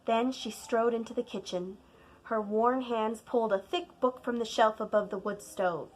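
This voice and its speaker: A woman narrating a children's storybook aloud like a teacher, deliberate and expressive, leaning on key words for drama. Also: fairly high pitch, rough recording. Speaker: teacher reading a picture book aloud.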